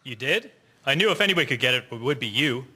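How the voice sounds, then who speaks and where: A man's voice: mid-range voice, animated, fast, bright, forceful tone, husky, exaggerated voice. A young man playing an eager airman in a historical audio drama. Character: eager young airman, proud gun owner, later alarmed.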